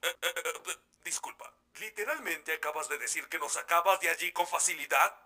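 A man with a Latin American accent playing an irritable, sarcastic animatronic squad member in an animated fandub. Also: a little high, a little fast, heavily acted. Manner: scolding, sarcastic. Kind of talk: amateur animated fandub acting.

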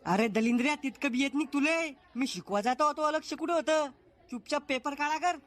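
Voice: high voice, brisk. Voice actor as a strict village schoolmaster. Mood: scolding. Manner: scolding, blunt, rural speech. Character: strict rural male schoolteacher, scolding but sentimental. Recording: loud music under the voice.

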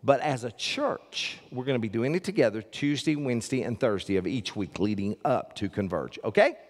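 A man's voice: mid-range voice, lively intonation, moderate pace. An older man preaching as a pastor, folksy and joking with the congregation, on a headset mic from a church stage. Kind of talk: sermon with announcements. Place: large church stage with audience. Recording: long reverb of a large hall.

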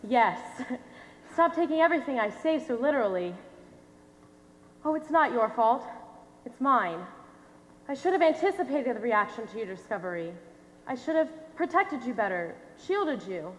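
A young woman playing an earnest, protective, easily exasperated doctor in a high school stage play. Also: very animated, moderate pace, long pauses, dull audio, slight background noise. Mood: worried, protective. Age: young adult. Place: theatre stage, recorded from the audience. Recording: echoey theatre hall.

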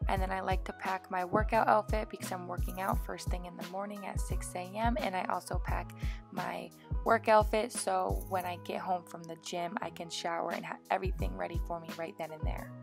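A woman's voice: fairly low pitch, fairly even intonation, a little fast, vocal fry. A young American woman vlogger narrating her daily routine in a casual, chatty voice-over. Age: young adult. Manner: chatty, informal. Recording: voice-over with background music. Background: music.

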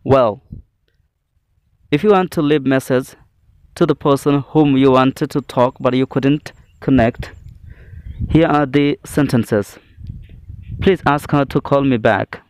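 Guy teaching english, really slow n deliberate, smooth clear voice. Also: medium pitch, a little mellow, dry recording. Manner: deliberate, phrase-by-phrase delivery. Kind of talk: scripted English lesson, reading example phrases.

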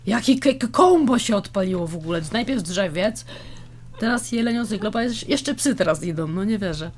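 A woman chatting casually while playing a co-op video game, colloquial, joking and quick to swear. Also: mid-range voice, animated. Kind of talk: casual chat during co-op gaming. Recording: clear background noise.

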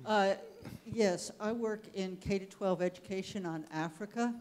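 A woman speaking in a measured, earnest way, making an audience comment at a panel discussion. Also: medium pitch, darkish tone. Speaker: audience member, education committee chair. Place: large theatre hall.

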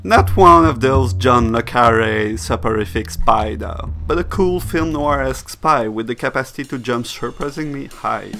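A man's voice: medium pitch, moderate pace, few pauses, bright, forceful tone. Male narrator for a scripted games essay; mid-range voice over a music bed. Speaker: narrator reading a video essay.

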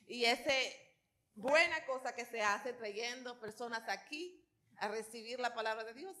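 Bright-voiced Dominican woman addressing a congregation. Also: on the high side, unhurried. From Dominican Republic. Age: middle-aged. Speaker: town mayor, guest speaker. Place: large church auditorium stage. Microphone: handheld microphone.

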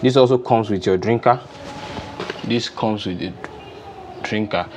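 A man's voice: lowish voice, a little slow, background noise. A young man with a Nigerian accent, giving an informal, unscripted hands-on demonstration step by step. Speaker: DIY farming instructor. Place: open-sided poultry house with concrete floor. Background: music.

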